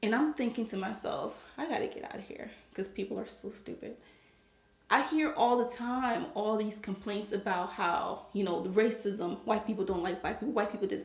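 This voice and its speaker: A woman from Liberia giving her opinion in a casual monologue to camera, becoming more animated as she stresses her points. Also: medium pitch, normal speed, roomy sound. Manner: opinionated, rising to animated emphasis. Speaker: vlogger.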